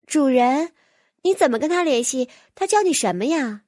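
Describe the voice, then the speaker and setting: A woman's voice: high-pitched, animated, normal speed, a little mellow, no echo, studio quality, voice pushed up. A woman voicing an earnest, curious young fantasy heroine in an audiobook, inquisitive and decisive. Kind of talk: audiobook character voice.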